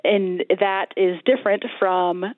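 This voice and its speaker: A woman's voice: medium pitch, flat delivery, a little slow, no echo, narrow, tinny audio. A woman from Colorado with an American accent giving explanatory answers as an interview guest over a remote line. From Colorado, United States. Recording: thin band-limited remote line.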